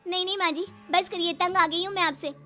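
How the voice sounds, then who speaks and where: A woman's voice: very high-pitched, fairly even intonation, brisk, pushed and bright, faint music behind, pitched above the performer's own voice. A woman voicing an exasperated young daughter-in-law in a comedy cartoon, pleading and protesting. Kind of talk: Hindi comedy cartoon story acting.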